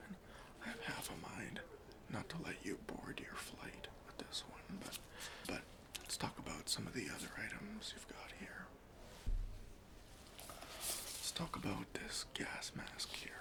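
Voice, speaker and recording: A man playing an officious but easily persuaded airport security officer in a whispered, deliberate ASMR roleplay, questioning with mock-stern politeness. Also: mid-range voice, wildly swooping pitch, full character voice. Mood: stern, suspicious. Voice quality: whispery, breathy.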